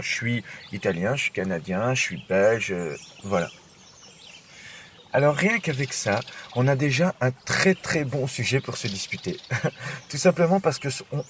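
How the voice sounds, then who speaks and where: A man of ordinary pitch, talking informally and directly to the listener in a self-help monologue. He circles back and repeats his phrases as he explains. Faint birds and outdoor sounds sit quietly behind him. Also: a little hiss or hum. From Belgium. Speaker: podcast host.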